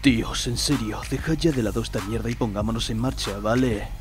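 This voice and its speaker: A man's voice: mid-range voice, a little fast, music in the background, natural voice. A man voicing a stoned, laid-back cartoon creature in a comedy dub, with a slangy, foul-mouthed drawl.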